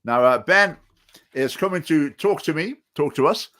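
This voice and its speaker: A man's voice: fairly high pitch, lively intonation, normal speed, a bit bright. A man hosting a talk show, speaking hesitantly with many fillers and restarts. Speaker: host. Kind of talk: political talk show chat.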